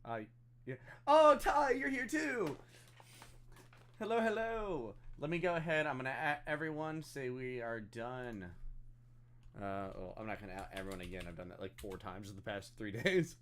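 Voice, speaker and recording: A man's voice: mid-range voice, animated, moderate pace, a bit bright. A man chatting casually and self-deprecatingly as he hosts a live stream over voice chat. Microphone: voice chat on a computer.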